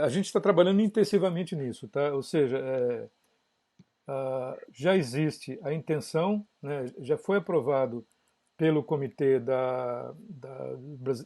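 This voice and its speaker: A man's voice: mid-range voice, dark tone. A man from São Paulo state, a guest audio engineer, chatting casually on a live podcast and explaining at length with frequent tag questions. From São Paulo state, Brazil.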